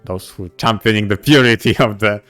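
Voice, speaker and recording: A man with a fairly low voice talks casually as a podcast co-host. His phrasing is deliberate and broken into short, halting pieces. Soft music plays faintly behind him. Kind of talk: casual discussion of visual novels.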